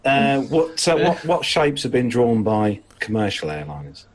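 A man hosting a podcast, introducing the next story in a casual, halting way. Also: mid-range voice, sing-song, a crowd in the background, poor audio. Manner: hesitant, halting lead-ins. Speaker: podcast host. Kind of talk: casual chat.

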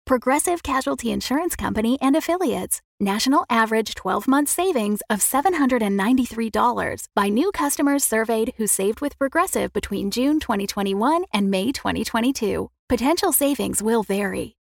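A woman's voice: a little high, lively intonation, a little fast, creaky, hardly any pauses, dry recording, studio quality. A woman announcer reading a scripted commercial disclaimer. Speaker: advertisement announcer.

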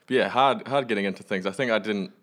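A man's voice: on the low side, quick pace, fairly bright tone. A young man from Western Australia, a musician guest chatting casually in an understated, matter-of-fact way. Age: young adult. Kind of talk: casual chat.

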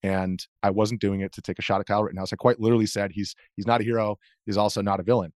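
A man's voice: fairly low pitch, steady tone, very fast, darkish tone, dry recording, clean studio sound. A man with an American accent answering interview questions conversationally, explaining himself earnestly with hesitant phrasing. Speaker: interview guest, journalist.

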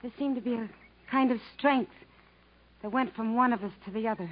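A woman's voice: a little high, fairly quick, natural voice. An actress playing a brave, tender woman in a 1950s radio drama, with restrained delivery in short phrases. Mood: wistful. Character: brave, tender woman stoically parting from her beloved. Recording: dull 1950s radio broadcast recording.

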